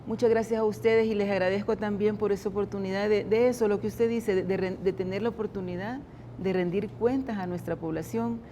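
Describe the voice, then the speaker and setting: A woman speaks as a government official in a studio interview. Her voice is mellow and unhurried, and she stops and restarts her sentences often. A faint noise sits under the recording. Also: medium pitch, steady tone. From El Salvador. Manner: halting, many restarts and repeated words. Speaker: government culture secretary, interview guest. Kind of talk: interview answers.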